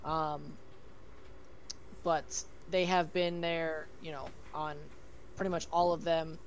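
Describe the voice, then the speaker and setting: A man's voice: on the high side, level tone, slow pace, slight background noise, rough recording. A man co-hosting a casual sports podcast, talking with many ums and restarts. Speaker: podcast co-host.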